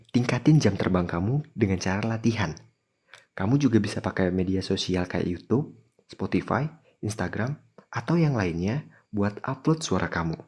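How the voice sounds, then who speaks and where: A man's voice: deep voice, a little mellow, slightly rough. A man presenting a scripted podcast in a chatty way, speaking straight to listeners. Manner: chatty, addresses listeners directly. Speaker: podcast host.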